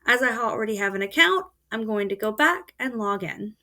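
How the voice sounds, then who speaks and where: Woman narrating a software tutorial. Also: a little high, a little fast. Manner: steady explanatory voice-over. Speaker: student presenter. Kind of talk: screen-recorded tutorial walkthrough.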